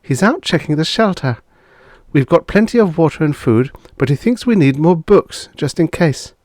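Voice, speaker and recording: A man voicing a brisk, unsentimental woman chatting to her friend in an audiobook story. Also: a little high, lively intonation, a little mellow, no echo, studio quality, voice pushed up. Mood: brisk, hurried.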